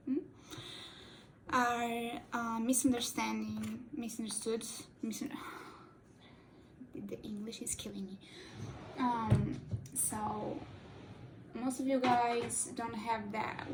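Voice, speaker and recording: A woman's voice: on the high side, vocal fry, background noise. A young woman whose first language is Portuguese, casually rambling and joking while doing a makeup tutorial, often correcting her own words. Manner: rambling, playful, self-correcting, giggly. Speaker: cosplay makeup vlogger.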